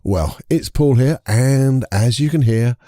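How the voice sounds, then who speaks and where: Man, croaky voice, podcast host, dark mellow tone, dry close sound, chatty and rambling. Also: mid-range voice, takes it slowly, dry recording. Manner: chatty, rambling, with self-corrections and chuckles. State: croaky voice from a lingering cold. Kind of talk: casual solo podcast monologue.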